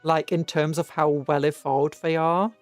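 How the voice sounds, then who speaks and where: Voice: medium pitch, flat delivery, a little slow. A British VTuber streamer chatting casually to viewers in a rambling, musing way, often stopping to correct themselves. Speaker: VTuber livestreamer. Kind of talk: casual livestream chat with viewers. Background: background music.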